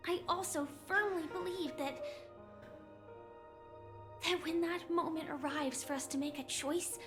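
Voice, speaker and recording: Young female game warrior, high pitch, gentle, resolute, soft-spoken, prominent background music. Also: steady tone, unhurried. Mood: tender, hopeful. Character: gentle, resolute young woman warrior ready for self-sacrifice. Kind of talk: anime game voice acting.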